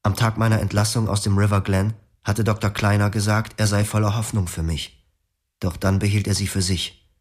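A man narrating his own story in a radio drama in a quiet, reflective way. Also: fairly low pitch, level tone, moderate pace. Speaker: first-person narrator.

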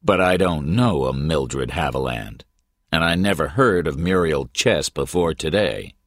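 A man voicing a terse, matter-of-fact private detective in an audiobook, with a deadpan manner. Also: a bass voice, a little slow, no echo, studio quality. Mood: cool, guarded. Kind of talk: audiobook character voice.

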